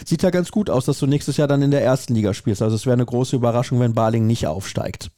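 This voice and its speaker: Mellow-voiced male podcast host. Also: medium pitch, fast, dry recording, studio quality. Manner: conversational, repeats words for emphasis ("sehr, sehr"). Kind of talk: interview questions and commentary.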